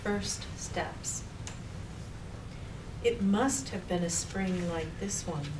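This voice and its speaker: A woman reading a poem to an audience in measured short phrases with pauses between them. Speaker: poet reading a colleague's poem. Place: public library reading room. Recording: distant mic, noisy with rumble.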